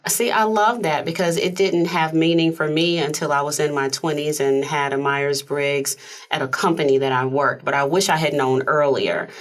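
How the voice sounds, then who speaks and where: A woman hosting a talk show in a studio, soft-spoken and conversational. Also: low voice, steady tone, moderate pace. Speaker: host. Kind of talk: casual interview chat.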